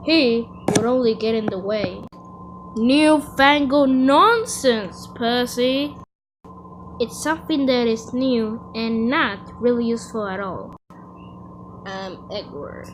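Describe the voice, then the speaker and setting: A woman's voice: on the high side, slow pace, background noise. A woman voicing an earnest, kindly but anxious steam engine character from a children's cartoon, with a fretful, exclamatory delivery. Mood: grumbling, dismissive. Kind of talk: animated children's series character lines.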